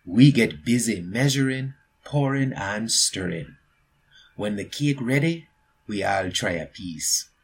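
A man's voice: on the low side, animated, vocal fry, a little hiss or hum, exaggerated voice. A man voicing an eager young immigrant boy who earnestly tells his own story in a picture book. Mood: happy. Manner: earnest, deliberate, storytelling emphasis. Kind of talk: expressive picture-book reading.

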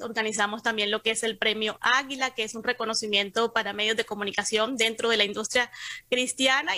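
A middle-aged Colombian woman speaks as an interview guest, sharing personal stories in a conversational way. Her voice sits a little high and has a very bright, clear edge. The sound is quite dry, with almost no room to it. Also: no room sound. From Cartagena, Colombia. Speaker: interview guest, communications professional.

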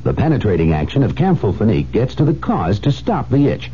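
A male radio announcer with a very dark, mellow voice and highly animated pitch. He reads a commercial on a dull old recording with faint hum. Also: fairly quick. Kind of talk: 1970s radio advertisement read.

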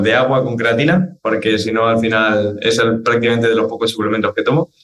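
A man in his twenties, born in Argentina, answering interview questions as a fitness trainer in a relaxed, rambling way. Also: medium pitch, poor audio. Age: 20s. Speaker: guest, trainer. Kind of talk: casual interview talk.

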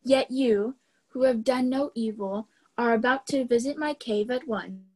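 A woman voicing a remorseful male demon who speaks softly and pleadingly in a storybook reading. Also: fairly high pitch, measured pace, slightly rough, poor audio. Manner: soft, pleading put-on voice.

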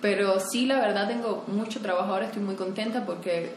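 A woman speaks frankly and with lively energy, laughing easily as an interview guest. Her voice sits mid-range. The room is echoey, with a faint background noise. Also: medium pitch, fairly quick. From Cuba. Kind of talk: relaxed conversational interview.

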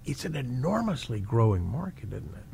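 A man's voice: medium pitch, big pitch swings, moderate pace, creaky, mellow, breathy edge. A man hosting a radio interview, chatting loosely with ums and restarts.

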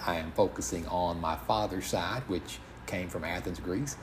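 Low-pitched man, some background noise. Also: darkish tone. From United States. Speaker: podcast host. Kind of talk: informal solo podcast monologue.

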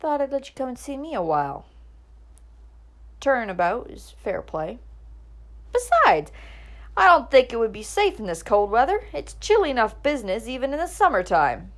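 A woman's voice: fairly high pitch, sing-song, normal speed, voice pushed up. Woman voicing a young male printer, teasing, blustering, storybook character.

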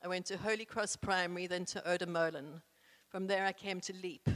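A young woman from South Africa with a South African accent and isiXhosa as her first language, reading her own essay aloud in an earnest, proud, deliberate way. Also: fairly low pitch, fairly even intonation, a bit bright. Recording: echoey room with long reverb.

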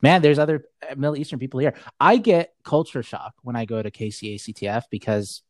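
A young man from Saudi Arabia talks loosely as a podcast guest, piling on filler words and breaking into laughs. His voice sits at an ordinary pitch for a man. The recording is close and dry, with no room sound. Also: a little fast, darkish tone, dry recording. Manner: rambling, heavy on filler words, laughs often. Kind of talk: casual chat.

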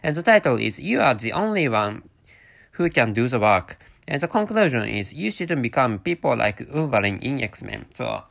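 Halting Japanese-accented man in his thirties. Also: mid-range voice, big pitch swings, creaky, fairly bright tone. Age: 30s. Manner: halting, many restarts and repeated words. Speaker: podcast host. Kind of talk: rambling solo podcast talk.

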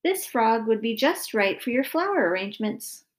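An eager, chatty little storybook boy, voiced by a woman, proud and persistent. The voice sits high and bounces with animation. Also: sing-song, normal speed.

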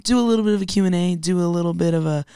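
Young woman, raspy lowish voice, recovering voice, animated casual chat, self-deprecating, dry sound. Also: racing, no room sound. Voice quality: somewhat deep voice for a woman. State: recovering from a lost voice. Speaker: podcast co-host.

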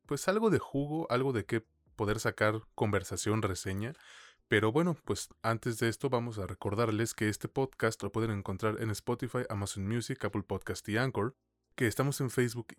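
A man's voice: mid-range voice, no echo, clean studio sound. A Mexican man co-hosting a film podcast, chatting in an informal, slangy way. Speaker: podcast co-host. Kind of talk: casual film podcast chat.

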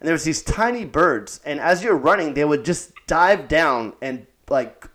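A man's voice: on the high side, animated, normal speed, fairly bright tone. A man hosting a casual, laid-back chat with an old army buddy. He laughs often and swears easily.

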